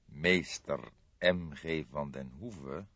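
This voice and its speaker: A man voicing an old grizzled police detective in an audiobook, calm, dry, wry and deliberate. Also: extremely low pitch, no room sound, highs cut off, full character voice. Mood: pensive.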